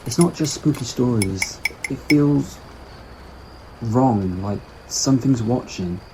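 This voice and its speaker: A man's voice: mid-range voice, slow, background noise. A man playing an uneasy, sceptical travelling companion in a fan audio drama, hesitant and questioning.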